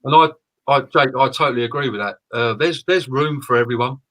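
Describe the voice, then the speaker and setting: A man's voice: mid-range voice, fairly quick, a bit bright. A man co-hosting a live stream, agreeing and ranting, often repeating and stumbling over his words. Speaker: co-host, business owner.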